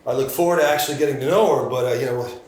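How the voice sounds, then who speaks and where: A man playing a distraught young musician in a live table read, stammering and halting with guilt. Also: medium pitch, a little mellow, close to an everyday voice. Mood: distraught, guilty. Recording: very echoey room with background noise.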